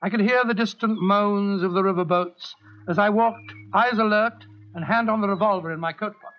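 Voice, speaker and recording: An actor playing an earnest, upright doctor who narrates in a dramatic, measured way in a 1940s-style radio drama. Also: male voice, high voice, lightly acted. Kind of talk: 1940s radio acting, first-person narration. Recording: dull vintage radio recording.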